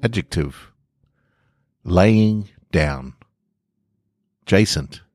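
A man's voice: low voice, animated, very slow, over music. A man who hosts a podcast and reads short stories aloud, speaking into a close mic. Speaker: podcast host and story reader. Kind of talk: narrated short fiction and casual host outro.

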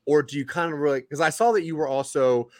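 A man's voice: on the high side, fast, no echo, studio quality. Man asking long rambling questions. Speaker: interviewer host. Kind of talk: casual interview chat.

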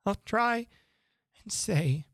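A woman's voice: mid-range voice, animated, drawn-out words, dry recording, studio quality, pitched above the performer's own voice. A woman voicing a young girl who is curious, fretful and prim, talking to herself in wonder. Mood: puzzled.

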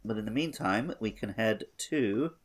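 A man's voice: lowish voice, expressive, moderate pace. A man co-hosting a podcast, chatting casually about a video game. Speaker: podcast co-host.

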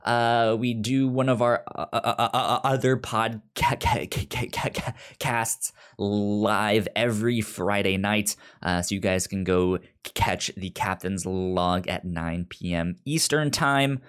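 A man hosting a casual podcast, speaking slowly with frequent stutters, fillers and restarts. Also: fairly low pitch, a bit bright, smooth. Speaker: podcast host.

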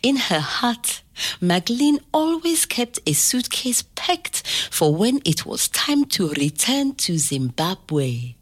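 Woman acting a husky, expressive grandmother storyteller. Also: medium pitch. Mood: tender. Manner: measured, expressive, tender. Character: loving, firm grandmother telling her grandson a bedtime story. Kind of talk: scripted dramatic story narration.

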